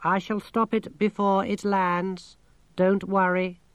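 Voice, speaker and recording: A man's voice: sits high, flat delivery, takes it slowly, clear, resonant tone, no room sound, voice pushed up. A man voicing an unflappable, matter-of-fact alien machine that speaks precisely and literally, in a storybook reading. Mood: calm, busy.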